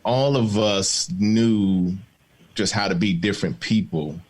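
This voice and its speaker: Man, very low voice, reflective storyteller, laughs easily, candid panel guest on a video call. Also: takes it slowly, darkish tone. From born in the Bahamas, raised in Maryland. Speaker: guest, film and TV showrunner.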